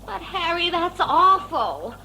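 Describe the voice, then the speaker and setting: A woman's voice: extremely high pitch, big pitch swings, slow, slight background noise, heavily acted, poor audio. A woman playing a vain, sugary, petulant daughter in an audio drama. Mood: dismayed. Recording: loud music under the voice.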